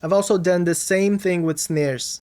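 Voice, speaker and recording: A music production tutorial host narrating a scripted lesson, explaining things clearly step by step. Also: medium pitch, steady tone, measured pace.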